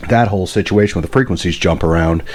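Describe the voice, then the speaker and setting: A man's voice: low voice, quick pace, a little mellow. A man giving a casual hands-on demonstration as a radio hobbyist, rambling and correcting himself with enthusiasm. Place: indoor workbench.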